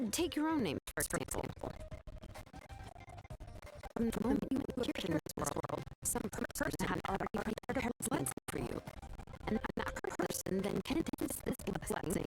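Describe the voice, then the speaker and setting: An actress playing a young, earnest artisan in a video game, explaining things in a measured, matter-of-fact way. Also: female voice, medium pitch, lightly acted, low-quality recording. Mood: earnest, reflective. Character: young female name-engraving artisan, earnest, laid-back, knowledgeable.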